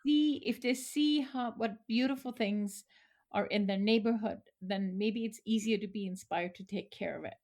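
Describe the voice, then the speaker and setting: Middle-aged woman, mid pitch, earnest and a bit halting. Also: darkish tone. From Austria. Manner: earnest, with fillers and restarts. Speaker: photographer, guest. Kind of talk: interview answers.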